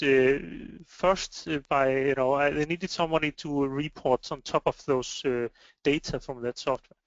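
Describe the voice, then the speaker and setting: A man with an Icelandic accent gives an informal webinar presentation in a hesitant, halting way. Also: a little high, clear, resonant tone, no room sound. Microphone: online web meeting microphone. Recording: dry, slightly muffled online call audio.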